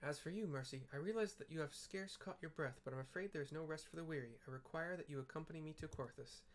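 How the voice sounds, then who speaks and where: A man's voice: fairly high pitch, fast, close to an everyday voice. A man voicing an eloquent, courtly young male diplomat from a fantasy game, with formal, wordy phrasing. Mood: courteous, pleased. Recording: clear background noise.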